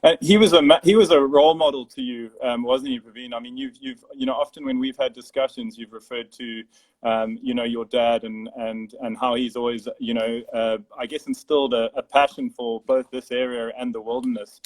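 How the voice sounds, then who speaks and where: A man hosts an informal live video chat as the interviewer. He feels his way into questions with a halting, conversational delivery. His voice has a medium male pitch. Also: rough recording. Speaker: interviewer, production company director.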